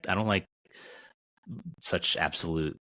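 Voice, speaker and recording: A man's voice: sits low, slow, bright, forceful tone, no echo. A man in his forties co-hosting a podcast, talking casually and candidly and swearing now and then. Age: 40s. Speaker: podcast co-host.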